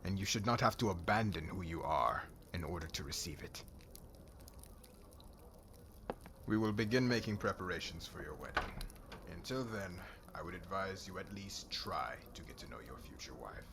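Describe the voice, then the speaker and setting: Deep, forceful man acting a stern young king. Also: animated, a little hiss or hum. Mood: gentle, advising. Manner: formal, commanding, measured, uncontracted speech. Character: stern regal young warrior king, earnest brotherly friend. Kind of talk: ASMR audio roleplay drama.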